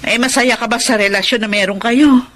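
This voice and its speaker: A woman's voice: fairly high pitch, steady tone, brisk, a bit bright, a little hiss or hum, lightly acted. A Filipino woman playing a frank, protective middle-aged confidante with a sarcastic edge in a Tagalog radio drama. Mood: scolding, exasperated. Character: blunt, nosy, protective older woman confidante.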